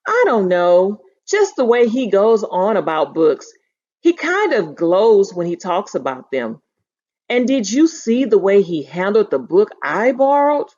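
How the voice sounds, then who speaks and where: A woman's voice: mid-range voice, big pitch swings, measured pace, darkish tone. A woman voicing a curious, bookish young girl in a storybook with an earnest, casual manner, in a dull lo-fi recording. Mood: eager. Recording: dull lo-fi sound.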